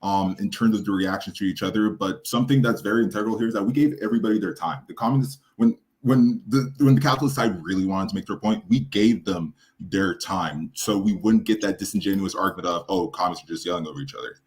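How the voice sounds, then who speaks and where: A man with a low, deep voice chats on a live reaction stream about a debate he was in. His talk is halting and earnest, full of restarts and fillers. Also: a little mellow. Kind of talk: casual live-stream chat.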